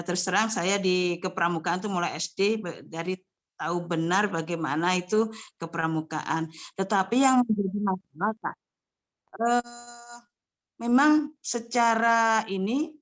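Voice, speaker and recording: A woman scout leader asking a question over a video call, slow and searching for words. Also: medium pitch. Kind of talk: question from the audience.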